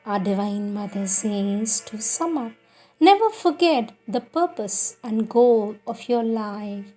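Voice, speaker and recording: A woman reads spiritual guidance in a very slow, deliberate delivery. Her voice sits a little high and has a husky, unpolished grain. The reading is solemn and instructive, measured and earnest throughout. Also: lively intonation, mellow, soft background music. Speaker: reader of spiritual teachings. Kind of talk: solemn scripted devotional reading.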